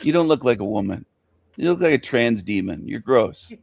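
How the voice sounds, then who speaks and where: A man's voice: mid-range voice, expressive, moderate pace, vocal fry, a little mellow, no echo. A man in a heated online argument, hurling repetitive hostile insults.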